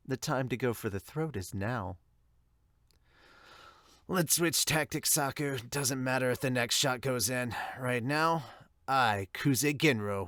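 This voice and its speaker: A man voicing a proud, menacing golf competitor with a gravelly voice in an amateur manga dub. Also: medium pitch, fairly bright tone, no echo, clean studio sound.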